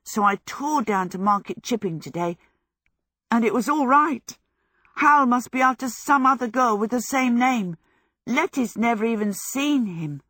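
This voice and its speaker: A woman voicing a jubilant, excitable teenage apprentice boy in love, gushing, in a storybook. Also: mid-range voice, normal speed, hardly any pauses, no room sound, studio quality. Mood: relieved, gushing. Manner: exuberant, gushing. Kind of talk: storybook character voice.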